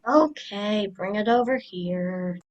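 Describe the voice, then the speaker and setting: A woman's voice: mid-range voice, expressive, slow, darkish tone, dull audio. A young female student playing a snarky, easily grossed-out older sister in a children's radio play. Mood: sulky, bored.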